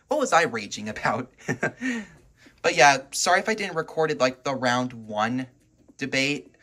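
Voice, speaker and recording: A man doing casual, excitable gameplay commentary as a video host, reacting aloud as he plays. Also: mid-range voice. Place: indoors at home, filming a TV.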